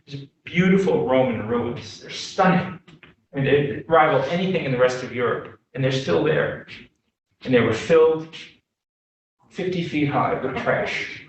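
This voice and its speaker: American man lecturing in a very echoey room. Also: a little high. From Boston, United States. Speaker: guest lecturer, development consultant. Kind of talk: informal slide lecture with audience questions. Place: echoey meeting room before a small audience. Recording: very echoey room, distant microphone.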